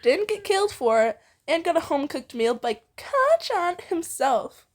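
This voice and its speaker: Woman, high pitch, very expressive intonation, playful fiction narration, giggly, teasing banter. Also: measured pace. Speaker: fan fiction reader and co-host.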